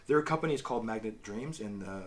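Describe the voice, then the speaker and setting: A man's voice: on the low side, lively intonation. A man co-hosting a homemade review podcast, feeling his way through each sentence over audible background noise. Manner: halting, self-correcting, repeats words. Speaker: host of a review show. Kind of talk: casual review chat.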